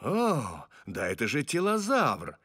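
A man voicing an excitable middle-aged dinosaur professor in a cartoon, explaining expressively. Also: mid-range voice, slow pace, heavy background noise. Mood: eager explaining. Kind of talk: cartoon voice acting in Russian. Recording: music behind.

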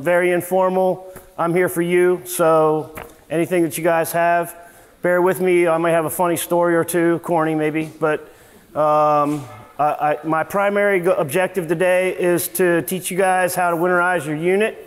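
A middle-aged man with a fairly high voice gives a casual, rambling seminar, full of fillers and restarts. He stands in a large workshop garage, heard from a distant camera. The sound rings with a long echo off the hard walls. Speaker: service manager. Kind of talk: informal seminar talk. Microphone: far camera, no mic on him. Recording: echoey garage from far off.

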